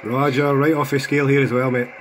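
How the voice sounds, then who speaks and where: A man's voice: medium pitch, little pitch movement, fast. A man with a Scottish accent making CB radio calls with callsigns. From Scotland. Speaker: CB radio operator. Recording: noisy CB radio hobby recording, loud static.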